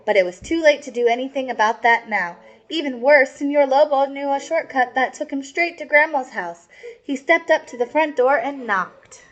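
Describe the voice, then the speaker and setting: A woman's voice: high-pitched, normal speed, fairly bright tone, highs cut off. A woman storyteller reading a picture book aloud for children, with casual asides.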